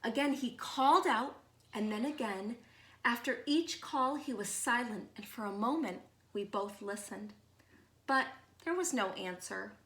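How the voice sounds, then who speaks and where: A woman reads a picture book aloud to children in a slightly high voice. Her delivery is slow, expressive and measured, with deliberate pauses. The room adds a noticeable echo. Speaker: read-aloud storyteller.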